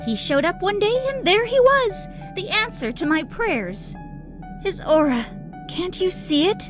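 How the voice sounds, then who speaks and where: A woman's voice: extremely high pitch, big pitch swings, a little slow, music in the background, exaggerated voice. A woman playing a sardonic, theatrical and exasperated villain rival in a visual novel game. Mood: obsessive, fervent. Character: Kiki, sardonic, dramatic, gun-toting female villain rival.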